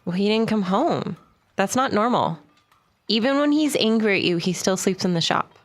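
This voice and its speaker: A woman's voice: medium pitch, expressive. A woman playing a tabletop game who stops and starts often with fillers and speaks in character. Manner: halting, with many fillers. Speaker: player.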